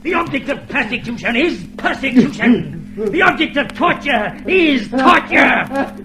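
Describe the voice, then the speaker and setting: An actor playing a cold, fanatical, domineering party torturer in a vintage radio drama, with theatrical, emphatic menace. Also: male voice, very high voice, sing-song, a little slow, exaggerated voice. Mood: fanatical, exultant. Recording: noisy, humming old radio drama recording.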